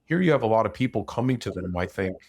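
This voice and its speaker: Gravelly, low-voiced man, blunt and rambling. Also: expressive, fast talker, a little mellow. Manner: rambling, opinionated, blunt, speaks in broken-off sentences. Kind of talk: casual unscripted discussion of technology investing.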